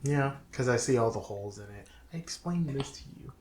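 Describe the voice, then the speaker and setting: A man's voice: mid-range voice, animated, brisk. Guy on a podcast chatting casually, kinda self-deprecating, mid-range voice, some noise in the recording. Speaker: podcast co-host. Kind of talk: casual chat. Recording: clearly noisy room recording.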